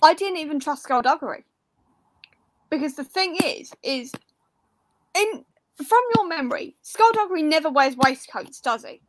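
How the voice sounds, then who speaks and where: A woman's voice: sits high, lively intonation, normal speed, long pauses, low-quality recording. A woman talking casually about books, telling her points in an informal, emphatic way. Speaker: book readalong panellist.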